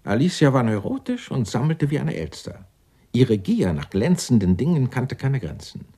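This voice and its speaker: A pompous, excitable clerk in his sixties, swooping voice. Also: medium pitch, moderate pace, breathy edge. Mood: theatrical, sardonic. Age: 60s. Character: eccentric, indignant 64-year-old Dutch tax-law clerk, self-righteous. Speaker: murder suspect being questioned. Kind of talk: theatrical radio drama acting.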